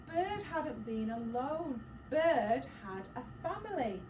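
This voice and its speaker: Animated woman reading a storybook to children. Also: sits high. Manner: expressive, dramatic gasps, encouraging prompts. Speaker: teacher reading a picture book aloud to children. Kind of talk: storytelling read-aloud with asides and questions to children. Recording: roomy, distant mic, clear background noise.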